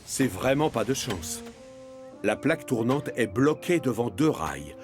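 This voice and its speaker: A man narrates a children's cartoon tale in a mid-pitched voice. He reads as a storyteller, with music clearly playing behind him. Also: sing-song, a little slow.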